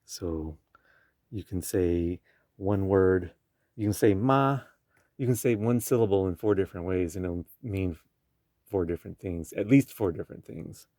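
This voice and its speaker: A young man with Chinese as his first language, hosting a casual conversation and talking in halting phrases with many restarts and fillers. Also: sits low, warm tone, no room sound, clean studio sound. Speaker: podcast host. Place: indoor room with a field recorder. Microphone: field recorder.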